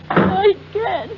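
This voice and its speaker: An actress playing a frightened, secretive wife in halting, melodramatic 1940s radio style. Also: female voice, very high-pitched, heavily acted. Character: frightened, secretive wife being blackmailed, pleading and anguished. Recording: muffled, noisy 1940s radio recording.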